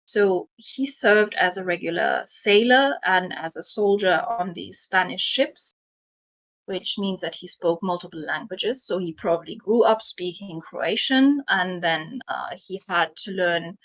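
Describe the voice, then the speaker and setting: A young adult woman casually telling a history story, with frequent ums and soft laughs. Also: on the low side, measured pace, poor audio. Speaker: guest storyteller.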